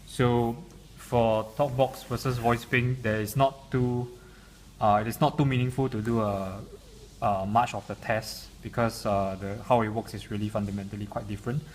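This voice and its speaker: A man's voice: mid-range voice, steady tone, moderate pace. A man giving an unscripted product demonstration in a hesitant, stop-and-start way. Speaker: software demonstrator. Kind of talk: unscripted product demo explanation. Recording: clearly noisy room recording.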